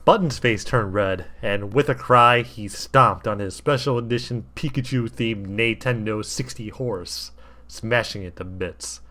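A man's voice: on the low side, unhurried, bright, forceful tone. A man reading a comic story aloud with wry, mock-earnest delivery. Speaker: fan fiction reader.

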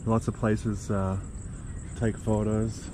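A man with a lowish voice talks in a relaxed, chatty travel-vlog manner. He is recorded outdoors on a camera mic. Crickets, insects and outdoor noise run clearly behind him. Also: slow pace. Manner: relaxed, chatty, talks to animals in a higher playful voice. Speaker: travel vlogger. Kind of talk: casual travel vlog narration. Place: outdoors in tropical resort grounds.

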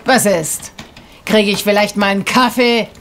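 Radio play role: brash, vulgar woman, slow sneering delivery, needles whoever she addresses. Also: mid-range voice, fairly even intonation, a bit bright, a little hiss or hum. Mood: irritated, sneering. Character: crude, brash, foul-mouthed woman, an alter ego in therapy. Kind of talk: audio drama acting.

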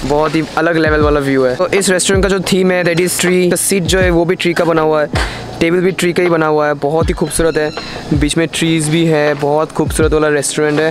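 A young man from India narrating a casual travel vlog, excitable and full of exclamations, mixing English words into his Hindi. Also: fairly high pitch. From Silchar, India. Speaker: travel vlogger.

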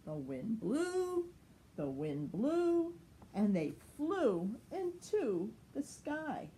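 A woman's voice: fairly high pitch, very animated, drawn-out words, dark tone, slight background noise. A woman in her sixties reading a children's picture book expressively, lingering dramatically on words. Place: sunny room at home by large window.

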